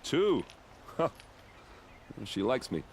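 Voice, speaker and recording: An actor playing a gruff, brash but good-hearted warrior in a video game, with a blunt, casual way of talking. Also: male voice, medium pitch, big pitch swings, slow pace, a little hiss or hum. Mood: fond, wry.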